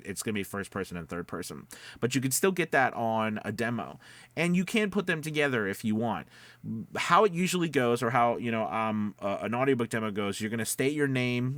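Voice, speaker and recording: A man with a medium-pitched voice gives casual, step-by-step instruction as a coach. He is conversational and emphatic, often checking that listeners follow. The sound is dry, with very little room echo. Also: fairly bright tone. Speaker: voice-over coach.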